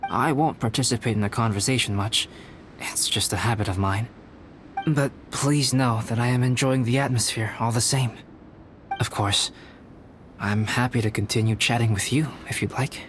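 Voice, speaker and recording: A man playing a reserved, polite young alchemist in a video game, speaking in a measured, formal manner. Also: mid-range voice, fairly even intonation, slight background noise, natural voice. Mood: calm, gracious. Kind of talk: video game character acting. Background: music.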